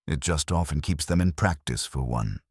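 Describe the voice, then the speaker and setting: A man voicing a wry, quick-witted detective's assistant in a scripted story, deadpan. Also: a bass voice, husky, dry recording, studio quality, full character voice. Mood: pointed.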